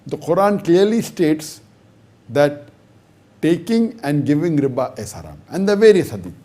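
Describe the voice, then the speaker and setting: A man's voice: on the high side, very animated, normal speed, warm tone. A man, an Islamic scholar, answering an audience question in a lecture, reasoning step by step with emphatic stresses and rhetorical questions.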